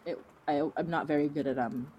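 A woman's voice: deep voice, steady tone, a little fast, mellow, husky, lo-fi sound. A woman chatting casually as an interview guest, rambling and informal, with a self-deprecating way of talking. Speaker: fiction podcast creator, interview guest.

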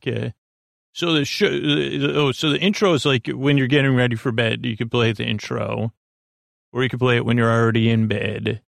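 Creaky rambling man hosting a sleep podcast. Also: medium pitch, no echo, studio quality. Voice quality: creaky, halting, many fillers and restarts. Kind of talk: rambling bedtime monologue.

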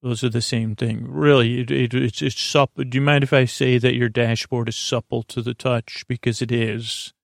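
A man hosting a sleep podcast, talking gently in a meandering, halting, goofy monologue with a creaky voice. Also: mid-range voice, flat delivery, no echo, studio quality. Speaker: podcast host.

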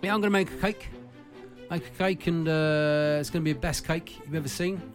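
A man voicing a boastful, self-obsessed baking contestant who brags loudly and repeats himself. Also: on the high side, a little fast, faint music behind, lightly acted. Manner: bragging, repetitive, loud.

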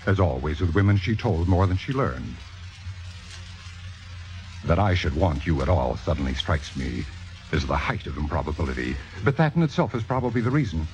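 A man's voice: low-pitched, sing-song, moderate pace. A man playing a cynical, commanding theater critic in a vintage radio drama, with precise, sardonic, possessive delivery. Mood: controlling. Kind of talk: 1950s radio drama acting. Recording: dull vintage radio recording, music behind.

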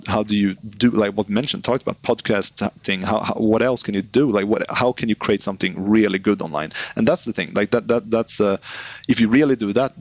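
A man's voice: lowish voice, no echo. A man speaking as a business guest in a casual interview, often restarting and repeating words as he thinks. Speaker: guest, online business founder.

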